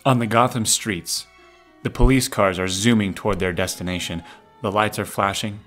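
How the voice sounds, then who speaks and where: A man's voice: lowish voice, fairly even intonation, normal speed, fairly bright tone, faint music behind. A man reading scripted narration as the narrator of an audio drama.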